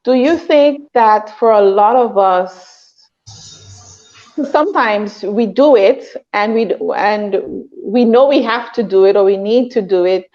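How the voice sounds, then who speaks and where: A woman's voice: on the high side, darkish tone, highs cut off. A woman hosting an interview, asking long, probing questions. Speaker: interview host.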